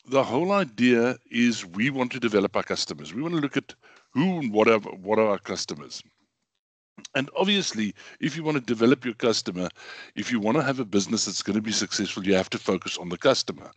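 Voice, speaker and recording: A middle-aged man with a hoarse voice from bronchitis, giving a casual online lecture with lots of ums and repeated words. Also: medium pitch, dry recording. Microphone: computer mic.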